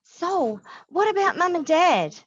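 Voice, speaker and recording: A woman's voice: extremely high pitch, sing-song, a little slow, muffled recording, voice pushed up, exaggerated voice. A woman voicing an anxious young boy who whines and pleads in a story reading. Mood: whining, worried. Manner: whiny, pleading.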